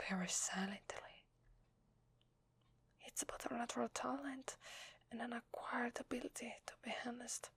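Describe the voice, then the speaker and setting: A woman with an Italian accent playing a gentle, apologetic vampire in an ASMR roleplay, whispering softly and hesitantly close to the microphone. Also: fairly high pitch, dry recording, exaggerated voice. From Italy. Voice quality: soft breathy whisper. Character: gentle, apologetic female vampire confiding in a human. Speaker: ASMR voice actor. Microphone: close microphone, dry.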